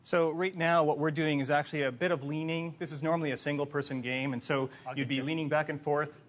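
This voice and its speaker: A man's voice: a little high, steady tone, fast, muffled recording. A man explaining a product as a guest during a conversational demonstration. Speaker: company co-founder, guest demonstrating a system. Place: TV studio stage.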